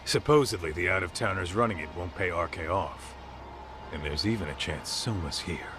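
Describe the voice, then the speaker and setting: A man voicing a tough, easygoing ex-gangster sidekick in a video game, gruff, gravelly and casually streetwise. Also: animated, normal speed, a bit bright, slight background noise. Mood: ready, steady. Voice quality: low, gravelly. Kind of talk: video game cutscene acting.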